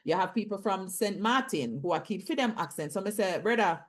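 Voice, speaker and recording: A woman's voice: mid-range voice, fairly quick. A Jamaican actress with a Jamaican accent in a casual panel discussion, slipping into Patois as she talks. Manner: animated, drifts into Jamaican Patois.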